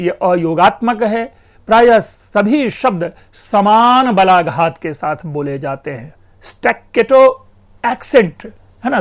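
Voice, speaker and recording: A man lecturing on linguistics in an explanatory classroom manner, addressing his listeners as friends and stressing words to show meaning. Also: high voice. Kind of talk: educational lecture.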